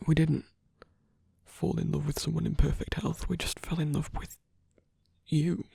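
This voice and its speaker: A performer in an intimate ASMR roleplay, voicing a gentle, protective supernatural lover with a husky, breathy voice and a hesitant, earnest delivery. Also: mid-range voice, flat delivery, moderate pace, dry recording, exaggerated voice. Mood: earnest, devoted.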